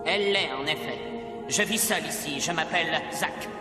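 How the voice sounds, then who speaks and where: A man voicing a calm, matter-of-fact solitary stranger in a French-dubbed cartoon. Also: on the high side, normal speed, natural voice. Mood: composed. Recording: echoey reverberant soundtrack, distant, loud music.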